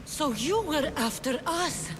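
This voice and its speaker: An actress playing a fiery, defiant young mercenary heroine in a video game cutscene, blunt and confrontational. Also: female voice, sits high, animated, slow pace, noisy background. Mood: angry, incredulous. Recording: music behind the voice.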